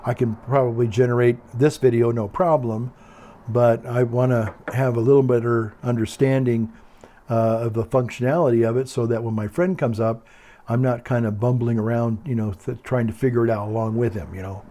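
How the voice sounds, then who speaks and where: An older man with a calm, quiet voice, chatting casually in a meandering, hesitant way and often repeating himself. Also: mid-range voice, moderate pace. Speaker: video blogger. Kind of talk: casual rambling monologue.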